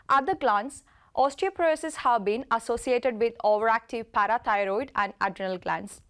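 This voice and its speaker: A woman in her twenties from India, a medical student reading a lecture presentation steadily, with an occasional slip. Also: fairly high pitch. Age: 20s. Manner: reads steadily from slides, occasional slips.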